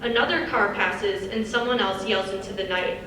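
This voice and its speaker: A woman's voice: medium pitch, normal speed, fairly bright tone. Woman narrating a script in an echoey hall. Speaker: narrator reading stage directions. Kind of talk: scripted narration, live dramatic reading. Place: large echoey indoor hall on stage. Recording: very echoey hall, distant mic, faint noise.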